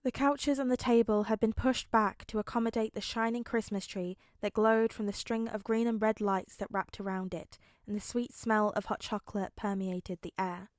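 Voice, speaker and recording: A woman's voice: mid-range voice, fairly even intonation, normal speed, hardly any pauses, no room sound. A woman reads a fan story aloud in audiobook style, narrating expressively and voicing the characters' lines herself. Her voice sits in a middle register. The recording is dry, with no room sound. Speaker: podfic reader. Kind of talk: audiobook fanfic reading.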